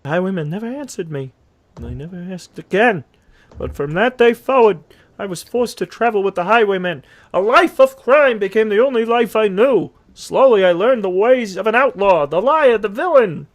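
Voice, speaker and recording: Cartoon horse outlaw played by a man: guilty, theatrical storyteller, high and very animated. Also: moderate pace. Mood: dramatic narration. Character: jittery, guilt-ridden talking horse outlaw telling his tale. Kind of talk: dramatic fandub narration.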